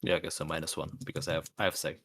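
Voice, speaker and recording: A man's voice: a bass voice, flat delivery, very fast, fairly bright tone. A man taking part in a tabletop roleplaying game, casually chatting and describing what his character does.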